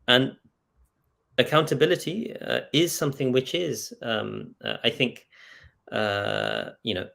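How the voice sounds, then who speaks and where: Insistent, gravelly-edged man in debate. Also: mid-range voice, expressive, a little slow, fairly bright tone. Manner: insistent, hesitant mid-thought, often seeking agreement with "right?". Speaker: scholar and author in discussion. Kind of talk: earnest intellectual debate over video call. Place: video call.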